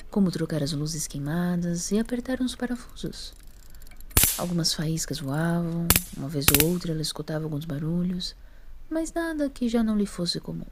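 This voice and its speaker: Narradora mulher de voz suave e aveludada. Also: female voice, fairly low pitch, a little mellow, slight background noise. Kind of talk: scripted story narration.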